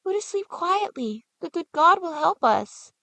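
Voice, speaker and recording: A woman voicing a brave young boy, a gentle older brother who speaks in a soft, earnest, soothing way. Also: extremely high pitch, very animated, a little slow, creaky, no echo, voice pushed up, exaggerated voice. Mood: gentle, reassuring.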